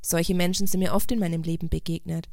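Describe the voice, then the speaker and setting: A kindly little old woman from a storybook, as a woman reader plays her speaks with gentle curiosity and understanding. There is some creak in the voice, and the sound is dry. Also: fairly low pitch, little pitch movement, fast talker, no room sound. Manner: gentle, curious, delighted to listen.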